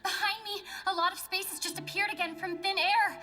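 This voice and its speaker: A woman's voice: extremely high pitch, fairly even intonation, moderate pace, distant mic, over music. A woman playing a solemn, wondering young traveler in an anime game, reporting strange sights.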